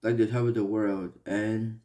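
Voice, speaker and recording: A young man from Malaysia talking earnestly in a personal vlog, halting and restarting with many fillers. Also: fairly low pitch, level tone, warm tone. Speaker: vlogger.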